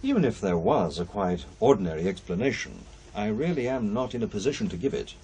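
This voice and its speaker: An actor playing a formal, cautious and inquisitive paranormal investigator in a radio play, speaking with careful precision. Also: male voice, lowish voice, lively intonation, a little fast, mellow, breathy edge, full character voice. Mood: precise, cautious. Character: measured, inquisitive male paranormal investigator, a widower.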